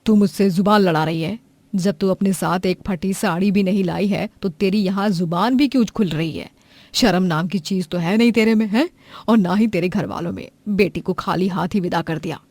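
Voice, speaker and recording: A woman's voice: mid-range voice, normal speed, a little mellow, dry recording, close to an everyday voice. A woman voicing a harsh, scornful middle-aged mother-in-law who scolds with contempt.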